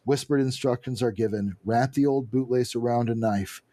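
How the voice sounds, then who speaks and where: A man's voice: medium pitch, moderate pace, dark tone. A man co-hosting a podcast, casually recapping comics in an irreverent, profane, jokey way. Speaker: podcast co-host.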